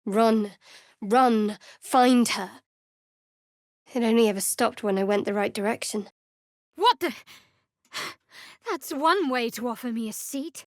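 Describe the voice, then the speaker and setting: A woman playing a guarded, sardonic young cleric of a dark goddess in a fantasy video game, speaking in a dry, measured, wry way. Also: on the high side, dry recording. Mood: urgent.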